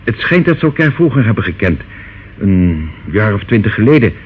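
An actor playing a devious older uncle in a radio drama, glib, reassuring and condescending. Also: male voice, lowish voice, sing-song, brisk. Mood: evasive, soothing. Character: smooth, devious older uncle, soothing and patronising. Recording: phone-like, noisy old radio play recording.

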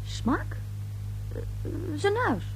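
A woman's voice: extremely slow, slight background noise, rough recording. An actress playing a nervous, easily upset young woman, a stammering and defensive daughter in a radio play. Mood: indignant, flustered.

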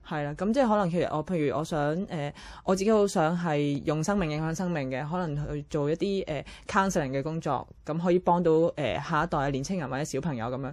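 Earnest, fast-talking young Hong Kong woman. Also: sits low, darkish tone. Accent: Hong Kong Cantonese. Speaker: interviewee. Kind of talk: casual interview talk.

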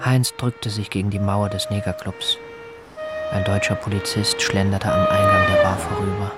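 A man gives a measured literary narration for a radio play. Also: lowish voice, level tone, lightly acted. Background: loud guitar music.

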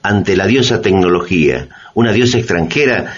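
A man's voice: low-pitched, lively intonation, normal speed. An impassioned presenter with a Latin American Spanish accent. His mid-range voice moves widely, emphatic and ironic. The dramatised radio commentary has a slightly muffled sound. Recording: dull radio recording, faint noise.

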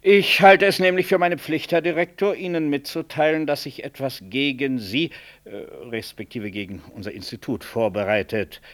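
An actor playing a stiffly polite, scheming hospital colleague in a 1960s German radio drama, hesitant and roundabout in phrasing. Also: male voice, mid-range voice, moderate pace, a bit bright, close to an everyday voice. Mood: formal, cautious.

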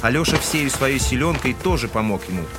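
A man's voice: mid-range voice, lively intonation, fairly quick, over loud music, close to an everyday voice. A man narrating a literary audio drama in a scripted, dramatic storytelling style.

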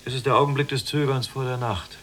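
An actor playing a restless, watchful young prisoner in a literary radio play, in clipped, deliberate phrases. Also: male voice, medium pitch, fairly even intonation, fairly bright tone, slight background noise, close to an everyday voice. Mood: watchful, measured.

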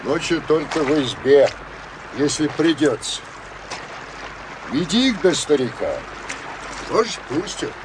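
An actor in a radio play playing a matter-of-fact peasant Cossack soldier with folksy, drawling speech. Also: male voice, fairly high pitch, big pitch swings, measured pace, pauses often, noisy background. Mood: pondering, practical.

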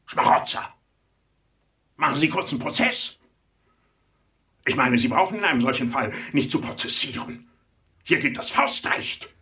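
High-pitched, blustering company chairman. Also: bright, forceful tone, distant mic, heavily acted. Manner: pompous, officious, hesitating with filled pauses, increasingly exasperated. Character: self-important male property company chairman, blustering, easily flustered. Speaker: actor in a radio play. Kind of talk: 1980s German radio drama acting.

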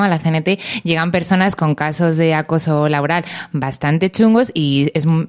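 A woman's voice: low-pitched, darkish tone, smooth. A woman with an accent from Spain, presenting a radio segment in a conversational way.

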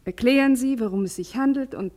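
An actress playing a calm, reassuring woman with a matter-of-fact manner in a 1950s German radio play. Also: a little high, a little fast, lightly acted. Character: calm, reassuring woman advising a worried acquaintance.

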